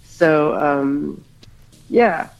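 A woman's voice: deep voice, drawn-out words, gravelly, rough recording. A woman from Hawaii, a musician guest in a casual interview, talking reflectively and rambling with fillers.

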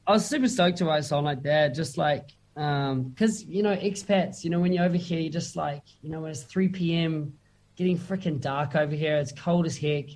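A man's voice: fairly high pitch, moderate pace, a little mellow. A man with a New Zealand accent, a musician chatting casually as an interview guest, laid-back and full of slang and fillers.

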